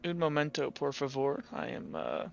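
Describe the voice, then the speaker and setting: A man acting as game master in a casual tabletop roleplay session, speaking haltingly with frequent restarts. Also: mid-range voice, sing-song, vocal fry, muffled recording, soft background music.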